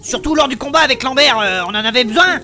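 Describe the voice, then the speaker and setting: A woman's voice: sits high, fast, pushed and bright. A woman voicing a curious, teasing young adventurer in an audio fiction. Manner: curious, questioning.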